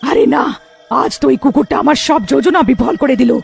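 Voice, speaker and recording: A woman voicing a menacing cartoon witch who hunts babies, gloating with drawn-out hums. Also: high-pitched, gravelly, darkish tone, soft background music. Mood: scheming. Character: menacing female witch hunting newborn babies. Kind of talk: cartoon villain acting.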